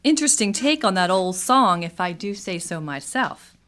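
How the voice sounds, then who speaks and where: An actress playing an enthusiastic town guide in scripted radio theatre, with a theatrical delivery. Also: female voice, mid-range voice, lively intonation, moderate pace, natural voice. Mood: cheerful, curious. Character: enthusiastic woman guide strolling through a river town. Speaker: actor in a community radio play.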